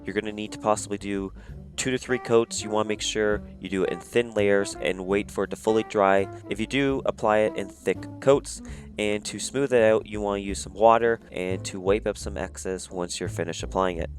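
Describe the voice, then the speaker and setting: A sick man with a raspy, monotone voice giving step-by-step instructions in a voice-over for a craft tutorial. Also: fairly low pitch, moderate pace. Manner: plain step-by-step instructions, many "you're gonna". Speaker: cosplay prop maker, channel host. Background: faint music.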